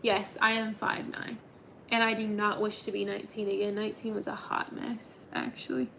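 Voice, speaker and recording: A woman's voice: mid-range voice, flat delivery, moderate pace, vocal fry, bright, forceful tone, a little hiss or hum. A woman in her twenties hosting a casual livestream, chatting and answering viewer comments with lots of ums. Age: 20s. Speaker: livestream host.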